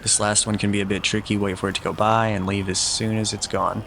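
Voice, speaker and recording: Gravelly low-voiced man giving game tips. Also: flat delivery, fairly quick, a bit bright, slight background noise. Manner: easygoing, instructive, slightly self-deprecating. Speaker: gameplay guide commentator. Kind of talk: relaxed walkthrough commentary.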